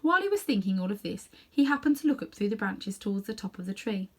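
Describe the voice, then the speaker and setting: A woman narrating a children's story as a reader, with expressive, dramatic delivery. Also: medium pitch, fast talker.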